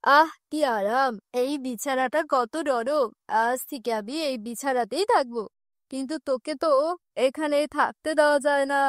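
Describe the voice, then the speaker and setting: A woman acts out a witch-possessed young husband in a cartoon horror tale. The voice is high but a touch lower, threatening and smug, in a dry recording. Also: moderate pace, no echo, natural voice. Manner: threatening, self-satisfied. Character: bullying, comfort-loving witch inside a young man's body.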